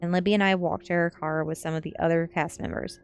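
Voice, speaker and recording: A woman's voice: on the low side, fairly even intonation, quick pace, a little hiss or hum. A woman reading a story aloud in the manner of a storybook narrator, close to the microphone. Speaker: streamer reading a novel aloud. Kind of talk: storybook narration, ASMR-style read-aloud. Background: faint background music.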